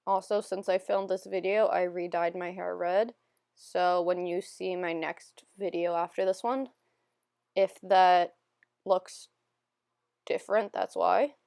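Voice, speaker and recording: A young woman chatting through a makeup tutorial voiceover, a bit hoarse as she gets over an illness. Her voice sits on the low side with a gravelly fry. She rambles and pokes fun at herself in a dry, close recording. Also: steady tone, slow, darkish tone, dry recording. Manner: chatty, self-deprecating, rambling with fillers. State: slightly hoarse, recovering from illness. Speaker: beauty vlogger.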